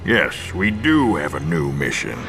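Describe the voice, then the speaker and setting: A man voicing a bold, gung-ho military commander in an animated war series, rallying the troops emphatically. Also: rumbling low, a little slow, gravelly, full character voice. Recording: loud film music underneath.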